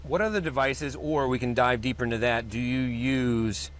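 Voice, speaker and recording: A man hosting a podcast, interviewing a guest in a relaxed, conversational way. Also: medium pitch, moderate pace, slight background noise. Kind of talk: casual interview.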